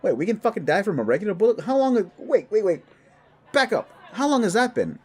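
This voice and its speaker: A man's voice: medium pitch, lively intonation, measured pace. A man voicing a brash, cocky young werewolf bully boss who swears and gets defensive, in a scripted audio drama. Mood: alarmed.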